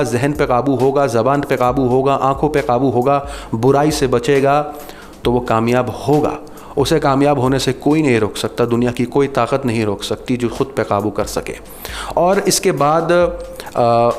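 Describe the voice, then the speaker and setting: A man with an Indian accent hosting an explanatory talk to viewers, speaking earnestly in measured phrases. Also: medium pitch. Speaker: video host explaining and commenting. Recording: clearly noisy background ambience.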